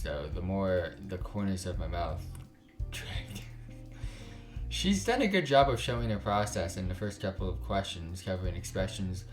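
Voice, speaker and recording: Low-voiced man reading a visual novel. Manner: informal, reacts with slangy asides. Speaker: gaming channel host. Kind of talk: reading a visual novel aloud with casual commentary.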